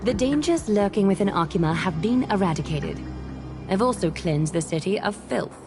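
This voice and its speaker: A woman voicing a noble demigod ruler in a video game, composed, stern and resolute, with formal, measured delivery. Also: mid-range voice, lively intonation, over music, lightly acted. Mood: firm defiance. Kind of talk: game voice acting.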